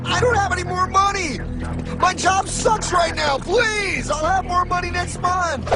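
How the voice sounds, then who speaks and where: A man playing a naive, whiny, excitable homeowner in an animated cartoon, pleading like someone who has been cheated. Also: extremely high pitch, measured pace. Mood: desperate pleading. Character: naive, panicky male homeowner cheated out of his dream. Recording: loud music behind the voice. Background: loud music.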